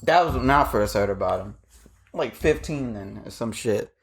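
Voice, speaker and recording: Husky American man, animated and challenging. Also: medium pitch, normal speed. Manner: slangy, teasing, challenging. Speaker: podcast co-host. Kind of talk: casual banter and debate among friends.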